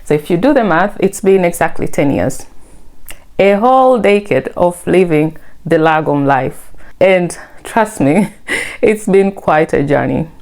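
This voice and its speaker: A woman's voice: fairly low pitch, a little mellow. A woman from Tanzania hosting her own vlog, explaining things in a friendly, conversational way. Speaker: vlogger. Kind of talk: scripted vlog narration.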